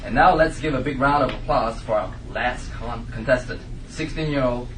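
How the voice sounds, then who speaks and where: A man's voice: medium pitch, moderate pace, close to an everyday voice. Man playing a showy contest announcer, far off. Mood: showy announcing. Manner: announcer-like, teasing the crowd. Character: showy skating contest host working the crowd. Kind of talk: comedy script table read. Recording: distant echoey room, loud noise.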